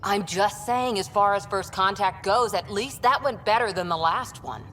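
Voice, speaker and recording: A woman's voice: medium pitch, normal speed, fairly bright tone, slight background noise, close to an everyday voice. A woman voicing a frank, skeptical spaceship crew member in a video game. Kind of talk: game dialogue. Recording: reverberant room, faint music behind.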